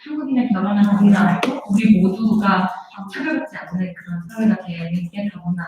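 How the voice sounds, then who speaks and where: A woman's voice: medium pitch, lo-fi sound, crowd noise. A young woman panelist from Texas speaking earnestly, switching between two languages with "like" fillers. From Texas, United States. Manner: rapid, earnest talk with "like" fillers. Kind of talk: panel discussion. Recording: echoey and distant with faint noise.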